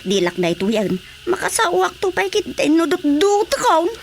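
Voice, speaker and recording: A woman playing an excitable, complaining village gossip in a Filipino radio comedy drama, with a Filipino accent. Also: very high voice, moderate pace, vocal fry, slight background noise, exaggerated voice. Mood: gossipy, flustered.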